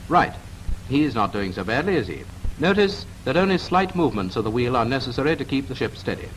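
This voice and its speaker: A man's voice: mid-range voice, sing-song, a little fast, lightly acted. A man with a British accent narrating a 1940s instructional film, addressing the viewer conversationally with questions. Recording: noisy 1940s film soundtrack.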